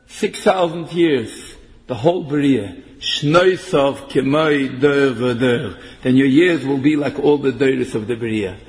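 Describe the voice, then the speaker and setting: A man giving a sermon, mixing in Hebrew terms and half-chanting verses. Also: high-pitched. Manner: English mixed with Yiddish and Hebrew terms, chanting some verses. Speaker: rabbi giving a Torah talk. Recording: long hall reverb.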